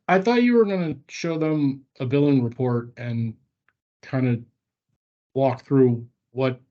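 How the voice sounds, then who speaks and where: Mellow, halting man on muffled call. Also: mid-range voice. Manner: hesitant, halting phrasing. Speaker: colleague directing the training. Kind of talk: casual meeting talk. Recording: muffled lo-fi online meeting audio.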